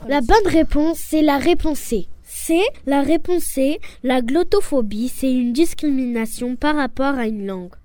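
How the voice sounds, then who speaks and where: A woman interviewing passers-by on the street, reading out quiz questions and answers clearly. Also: high voice, a little slow, few pauses, mellow. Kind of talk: vox pop quiz interview.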